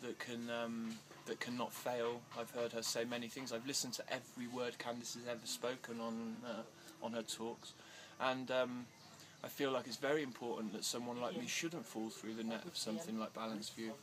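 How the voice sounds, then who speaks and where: A man of middle pitch speaks haltingly, full of fillers and false starts. His voice sometimes rises and grows louder as he gets worked up. Clear background noise sits under the recording. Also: level tone. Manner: hesitant, many fillers and restarts, growing agitated. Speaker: interviewee. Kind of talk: casual interview answers. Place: outdoors in India.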